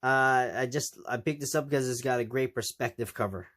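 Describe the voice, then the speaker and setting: A man's voice: mid-range voice, a little fast, fairly bright tone. A man with a nasal voice from a recent cold, casually showing his purchases in a rambling vlog with many ums and restarts. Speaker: comic collecting vlogger. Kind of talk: casual show-and-tell vlog.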